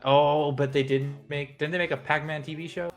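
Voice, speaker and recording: A man's voice: on the high side, fairly even intonation, moderate pace, faint music behind. A man co-hosting a casual show, bantering and rambling in informal slang. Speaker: show co-host. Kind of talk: casual banter about video game movies.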